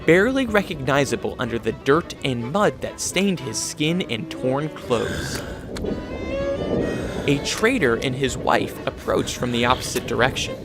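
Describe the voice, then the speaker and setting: A man's voice: mid-range voice, lively intonation, measured pace. A man narrating a scripted radio drama in a dramatic storytelling style. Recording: music behind the voice.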